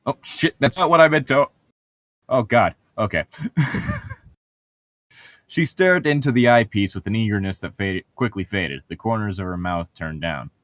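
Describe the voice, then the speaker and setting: A man with a fairly low voice, recorded close and dry, reads aloud in a casual way. He is sarcastic and swears freely when he breaks off to comment. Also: lively intonation, moderate pace, a bit bright, no room sound. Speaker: co-host of a comedic read-along.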